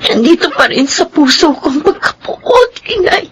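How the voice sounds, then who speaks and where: A woman's voice: sits high, sing-song, normal speed, slight background noise, rough recording. A woman playing a grieving, embittered mother in a Filipino radio drama, speaking tearfully. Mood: anguished grief. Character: grieving, embittered mother who lost her child. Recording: loud dramatic music underneath.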